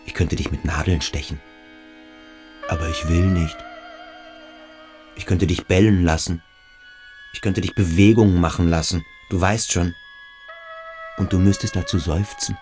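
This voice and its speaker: Brooding adolescent tormentor, radio drama: low-pitched, probing, insistent, over faint music. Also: male voice, unhurried, long pauses, darkish tone. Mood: commanding, menacing. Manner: intense, introspective, insistent. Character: brooding, domineering adolescent boarding-school boy, probing and tormenting. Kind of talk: German radio drama acting.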